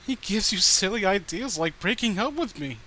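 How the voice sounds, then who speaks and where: A man's voice: sits high, lively intonation, normal speed, sharp tone, pitched above the performer's own voice. A man voicing an unhinged, possessive girlfriend who is volatile, pleading and cloying, in a horror story reading. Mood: sweetly possessive. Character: unhinged clingy murderous girlfriend, possessive, swinging from rage to sweetness. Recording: noticeable background noise.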